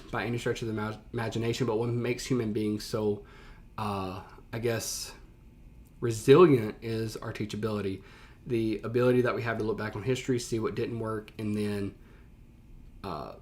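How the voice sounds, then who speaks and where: A man's voice: lowish voice, darkish tone. A man speaking as a teacher in casual reaction commentary, explaining things conversationally and stressing key words.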